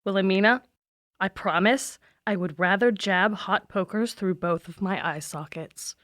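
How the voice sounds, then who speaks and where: A woman's voice: mid-range voice, measured pace, fairly bright tone, lightly acted. A woman playing a proud, exasperated teenage girl monster hunter in an audio drama. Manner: exasperated, sarcastic.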